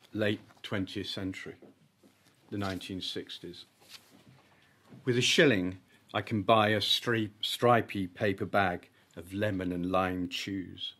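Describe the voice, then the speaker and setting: A man, an author reading his own short story at a live event, with deliberate, emphatic phrasing and comic timing. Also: lowish voice, a bit bright. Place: live literary event at an arts venue.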